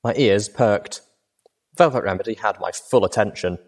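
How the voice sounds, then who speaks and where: A man reading a fan fiction novel aloud as a first-person narrator, steady and even. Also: on the low side, moderate pace. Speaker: audiobook reader.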